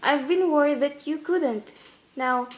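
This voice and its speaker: A genteel young woman in a radio drama, with a high voice. She is gentle and tactful yet candid, speaking with measured poise. There is faint noise in the recording. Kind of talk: radio play acting.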